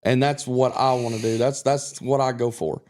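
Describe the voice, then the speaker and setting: A man's voice: medium pitch, flat delivery, moderate pace, darkish tone. A man from Kentucky, a guest country singer, chatting on a podcast in a confident, rambling way with many restarts. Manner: self-assured, rambling with restarts. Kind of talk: casual podcast chat.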